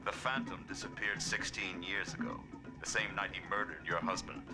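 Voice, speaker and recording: Male actor as animated corporate heavy: deep, terse, scornful, menacing, under a loud score. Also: normal speed, over music, close to an everyday voice. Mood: grim. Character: cold, menacing corporate henchman.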